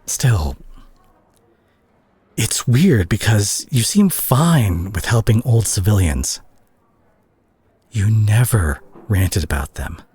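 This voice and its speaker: A man acting a concerned superhero who confronts a reckless friend in a dramatic audio roleplay, speaking earnestly and haltingly with a husky, breathy voice. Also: lowish voice, sing-song, darkish tone, exaggerated voice. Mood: somber, pained.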